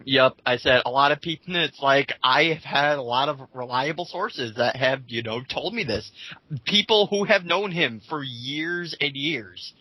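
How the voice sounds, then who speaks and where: Indignant man, bright forceful voice, close and dry. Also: mid-range voice, animated, unhurried, dry recording, poor audio. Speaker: co-host reading and reacting to comments. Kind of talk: casual dramatic reading with commentary.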